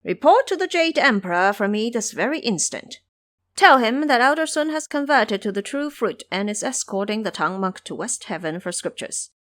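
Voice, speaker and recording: A woman's voice: a little high, very animated, moderate pace, a bit bright, voice pushed up. A woman voicing a boastful, crafty male monkey trickster in an audio storybook. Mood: commanding.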